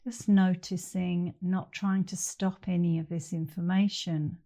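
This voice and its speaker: A middle-aged woman leading a guided meditation as an instructor, speaking gently and leaving long pauses between phrases. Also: lowish voice, fairly even intonation.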